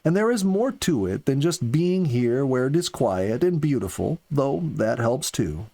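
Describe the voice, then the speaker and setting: A man reading the part of an earnest, philosophical young soldier in an audiobook, with slow, deliberate phrasing. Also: medium pitch, very animated, gravelly, mellow, voice pushed up, exaggerated voice. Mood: yearning, reflective. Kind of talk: audiobook character dialogue.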